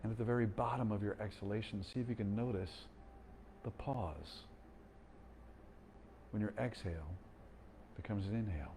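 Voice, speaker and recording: A man guiding a slow breathing meditation, speaking calmly and gently with long pauses. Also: lowish voice, a little hiss or hum. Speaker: meditation guide.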